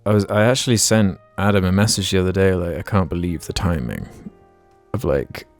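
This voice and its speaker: A man's voice: deep voice, fairly quick, dry recording, faint music behind. A young man co-hosting a podcast, rambling casually with lots of filler words and the odd swear. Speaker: podcast co-host.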